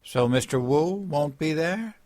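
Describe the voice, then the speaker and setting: An actor playing a dim-witted, childlike, cheerful President-elect in a comedic audio drama, naive and earnest. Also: male voice, fairly high pitch, animated, a little slow, darkish tone, dry recording.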